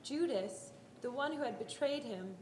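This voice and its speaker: A woman's voice: on the high side, animated, a little slow, darkish tone. A woman narrating a scripted dramatic reading of a Bible story. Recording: roomy echo, faint background noise.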